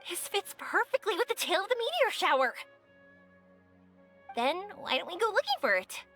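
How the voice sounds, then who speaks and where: An upbeat young female game heroine, friendly and determined. Her high voice bounces with expression, earnest and eager, over background music. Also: huge pitch swings, moderate pace, fairly bright tone, exaggerated voice. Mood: excited, determined. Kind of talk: scripted video game dialogue. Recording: music behind the voice.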